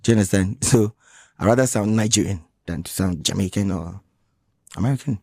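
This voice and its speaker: Ghanaian-accented male artist, low and mellow, halting and defensive. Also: no echo, clean studio sound. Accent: Ghanaian English. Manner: defensive, halting, many restarts. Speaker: musician guest. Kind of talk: radio interview. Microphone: radio studio mic.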